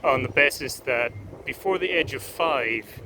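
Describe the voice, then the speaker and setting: Irish man, slow hesitant rambling, lots of ums, vlogging outside, street traffic noise behind. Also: mid-range voice. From Ireland. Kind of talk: casual travel vlog monologue. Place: outdoors on a city street. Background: urban street noise.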